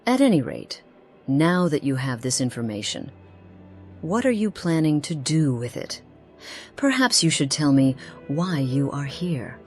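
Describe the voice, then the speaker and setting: A woman voicing an ageless, secretive noblewoman in a fantasy game, speaking formally with theatrical pauses, refined, wistful and sly. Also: low-pitched, very animated, a little mellow, faint music behind, full character voice. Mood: composed, knowing. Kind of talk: scripted game character dialogue.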